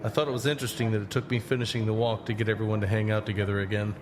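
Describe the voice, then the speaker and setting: A man's voice: on the low side, flat delivery, rapid-fire, a crowd in the background, close to an everyday voice. An actor playing a reflective young traveler, narrating his own story in a scripted audio drama. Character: reflective young male traveler back from a long walk. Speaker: narrator and lead character.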